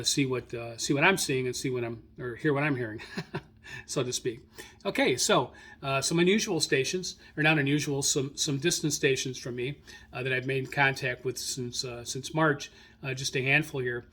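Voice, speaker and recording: A man hosting a radio hobby show, informally reading through his logs in a halting, self-correcting way as he searches his notes. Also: mid-range voice, a bit bright. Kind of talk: informal spoken log rundown, unscripted.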